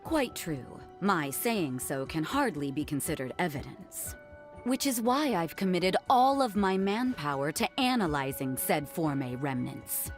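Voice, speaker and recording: A low-voiced, defiant noblewoman. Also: big pitch swings, a little slow, faint music behind. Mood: cold, threatening. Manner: blunt, commanding, barbed. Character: defiant, sardonic noblewoman ruling a sunken city. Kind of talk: scripted video game cutscene acting.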